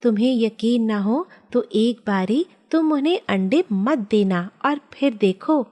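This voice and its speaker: Woman playing a lively, worried wife. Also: fairly high pitch, a little slow. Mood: urging. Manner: earnest, admonishing. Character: kind, worried wife with a conscience. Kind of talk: children's cartoon story dialogue.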